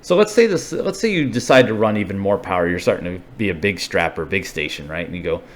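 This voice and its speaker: A man's voice: low voice, animated, fast talker, a little hiss or hum. A man presenting a hobby explainer video, talking casually and unscripted, thinking aloud as he works through technical points step by step.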